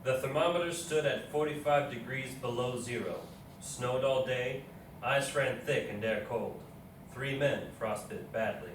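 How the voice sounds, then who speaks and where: A man's voice: mid-range voice, measured pace, natural voice. A man playing a resolute, matter-of-fact frontier expedition officer, reading his journal plainly and formally. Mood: stark. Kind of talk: readers theatre journal recitation. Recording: echoey room, distant mic, faint noise.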